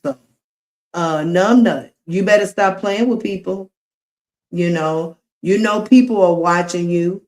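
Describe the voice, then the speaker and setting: A woman's voice: on the low side, a little slow, darkish tone. A woman chatting on her live stream, rambling with lots of fillers, talking straight to her viewers as y'all and teasing the people she calls out. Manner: rambling, filler-heavy, addresses chat as y'all. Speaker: livestreamer.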